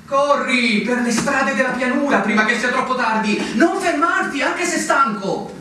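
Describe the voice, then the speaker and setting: A man's voice: very high voice, moderate pace. A man playing a brooding inner-voice narrator in a theatrical radio drama, speaking in poetic, declamatory phrases. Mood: urgent, exhorting. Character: brooding male inner-voice narrator urging a young officer. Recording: very echoey stage, distant mic, hiss.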